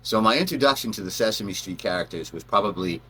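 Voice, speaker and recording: A man with a fairly low voice and a bright, forceful edge. He tells personal stories in a casual, rambling style, slipping into animated retellings of what people said. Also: quick pace, breathy edge. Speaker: storyteller, channel host.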